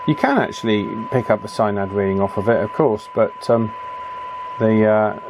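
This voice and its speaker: A man giving a casual, hands-on repair demonstration, chatty and unhurried, thinking aloud as he works. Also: lowish voice, background noise. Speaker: radio repair video presenter. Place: indoor electronics repair bench.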